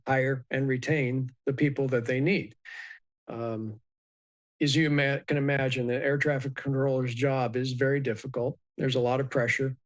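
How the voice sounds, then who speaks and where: A man giving a measured, explanatory expert commentary in a live news interview, with many ums and uhs. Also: mid-range voice. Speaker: aviation expert guest.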